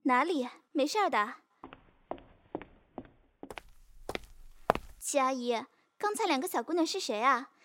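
A woman's voice: high-pitched, animated, brisk, background noise. A woman playing a kindly, businesslike nurse in an audio drama, polite and chatty. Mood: kindly, curious. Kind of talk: Chinese audio drama acting.

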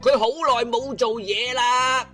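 A storyteller with a Cantonese accent narrates a comic radio drama. The delivery is colloquial and teasing, in a bright, forceful voice of middling pitch, with music running underneath. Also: steady tone, a little slow.

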